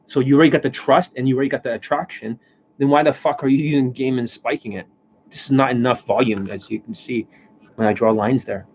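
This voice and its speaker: A man hosting a live stream as a dating coach. He rants bluntly and swears often, and his voice is worn and hoarse from overuse, with coughs and throat clearing. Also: medium pitch, steady tone, fast talker, a little mellow, a little hiss or hum. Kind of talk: livestream monologue. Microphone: desk microphone.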